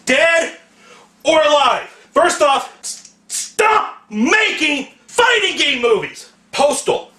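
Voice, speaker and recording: A man hosting a profane comedic rant in an exasperated, emphatic, shouting manner. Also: extremely high pitch, sing-song, slow. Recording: echoey room, distant microphone.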